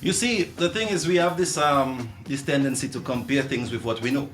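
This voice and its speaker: A man from Trinidad and Dominica with a Caribbean accent, a music artist chatting in an interview, animated and laughing, often restarting. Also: mid-range voice, normal speed. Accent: Caribbean English. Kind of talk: casual interview chat.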